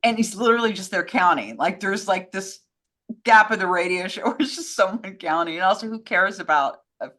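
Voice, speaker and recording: Bright-voiced woman chatting casually. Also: mid-range voice, a little fast. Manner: informal, opinionated, rambling with frequent "like". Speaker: co-host answering fan questions. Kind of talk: casual film and old-time radio chat.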